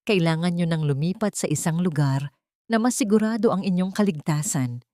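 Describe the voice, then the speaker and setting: Protective attorney, played by a female narrator, calm, earnest, determined, audio drama, dry studio sound. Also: lowish voice, normal speed, dry recording, lightly acted. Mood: calm concern.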